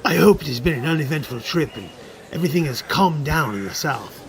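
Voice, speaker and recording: A man's voice: on the high side, lively intonation, brisk, slight background noise. A man playing a rich, flamboyant goblin innkeeper in a fantasy radio drama, speaking with florid, theatrical courtesy. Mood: courteous.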